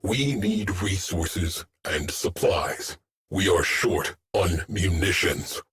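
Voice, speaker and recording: Low, slow, stern starship AI. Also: male voice. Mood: stern, clipped. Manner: clipped, deadpan orders in short fragments. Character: terse military starship AI, male, stern and stoic. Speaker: ship's tactical AI officer. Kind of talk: video game mission callouts.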